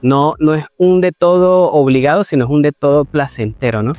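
A man's voice: a little high, normal speed, darkish tone, no room sound, faint music behind. A man working as a TV reporter, introducing a feature and asking interview questions with an upbeat presenter delivery.

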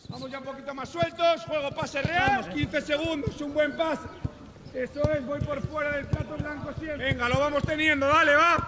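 A man's voice: extremely high pitch, fairly even intonation, moderate pace, pauses often, heavy background noise. A man coaching players through training drills outdoors, urging them on in short clipped commands. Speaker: fitness coach.

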